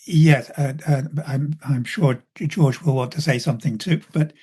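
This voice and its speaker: A British man with a slightly husky voice answers in an academic discussion. His pitch is mid-range, and he phrases things in a measured, hesitant way, pausing often as he builds each point. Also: darkish tone. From Britain. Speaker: symposium speaker.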